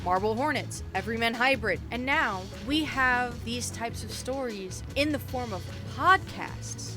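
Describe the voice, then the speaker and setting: A woman's voice: a little high, expressive, unhurried, music in the background. A woman narrating a scripted video essay with wry, informal asides and emphatic phrasing.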